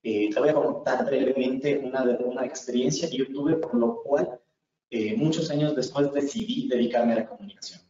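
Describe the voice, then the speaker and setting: A man's voice: mid-range voice, normal speed, warm tone. Young Ecuadorian man lecturing in an echoey room. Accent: Ecuadorian Spanish. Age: 20s. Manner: earnest, repeats words for emphasis. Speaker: communication instructor. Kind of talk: webinar lecture. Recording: echoey room, far from mic, muffled.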